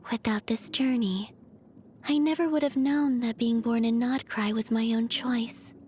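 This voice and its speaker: A woman voicing a serene, otherworldly young moon maiden from a video game, soft and breathy, with a gentle, naive curiosity. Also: a little high, fairly even intonation, a little hiss or hum, natural voice. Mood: serene, wistful. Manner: slow, dreamy, innocently curious. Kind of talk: video game character voice lines.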